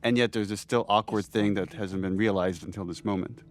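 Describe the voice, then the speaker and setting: A man's voice: on the low side, steady tone, brisk. A man talking casually in behind-the-scenes commentary as a game director.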